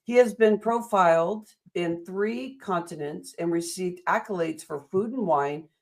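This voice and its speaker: Upbeat American woman host, fairly low voice, rambling and affirming. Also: unhurried. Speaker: interview host. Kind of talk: upbeat motivational interview.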